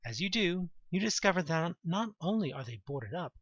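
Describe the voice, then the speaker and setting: A man reading scripted second-person audio drama narration in a deliberate, dramatic storytelling manner. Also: a little high, big pitch swings, a bit bright, breathy edge, dry recording, dull audio.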